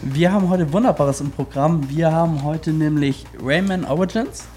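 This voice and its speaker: A man's voice: on the high side, normal speed, a little mellow, a little hiss or hum. Man, keen chat about games. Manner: enthusiastic, hesitant fillers. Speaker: guest co-host, studio editor.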